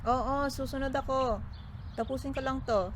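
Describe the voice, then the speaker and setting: A woman's voice: high voice, steady tone, moderate pace. A woman with a Filipino accent playing a studious, grumbling middle sister in a radio drama. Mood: busy.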